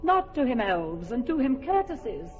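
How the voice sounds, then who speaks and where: A woman's voice: high-pitched, very animated, normal speed. A commanding fairy queen, light-voiced, lyrical verse, muffled radio sound with music behind. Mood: tender. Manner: lyrical, imperious verse delivery. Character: proud enchanted fairy queen, doting and commanding. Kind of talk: classic radio drama verse acting.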